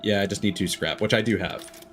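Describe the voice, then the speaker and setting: A man's voice: lowish voice, brisk, over music. A man giving casual, opinionated, sweary commentary as he streams a game live.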